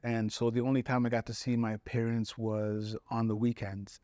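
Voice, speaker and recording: A man's voice: medium pitch, little pitch movement, moderate pace, dark tone, dry recording. A man in his forties from Somalia, raised in Italy and Canada, talking reflectively as an interview guest. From Somali-born, raised in Italy and Canada. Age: 40s. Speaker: podcast guest, spoken-word poet.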